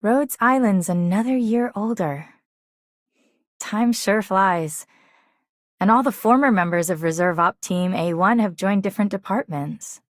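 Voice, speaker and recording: A woman's voice: mid-range voice, a little slow, no room sound, close to an everyday voice. Woman as an earnest game team leader, dry. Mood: nostalgic, earnest. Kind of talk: video game character voice line.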